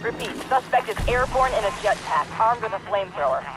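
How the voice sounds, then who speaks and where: High-voiced police dispatcher. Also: male voice, expressive, brisk. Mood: urgent. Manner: clipped, official. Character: brisk, official male police dispatcher. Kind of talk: video game police radio chatter. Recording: police radio, music behind.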